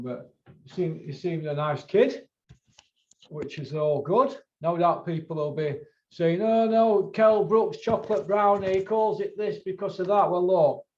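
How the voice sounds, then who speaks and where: Higher-voiced Northern English man, roomy sound. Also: darkish tone, highs cut off. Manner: rambling, colloquial, sweary, repeats himself. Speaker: host of a boxing video channel. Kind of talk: casual chat.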